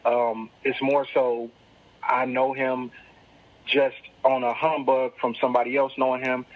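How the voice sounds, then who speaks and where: A man's voice: mid-range voice, flat delivery, unhurried. A man calling in to leave a voicemail, casually explaining a situation and asking for advice. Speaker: caller leaving a voicemail. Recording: dull lo-fi voicemail recording.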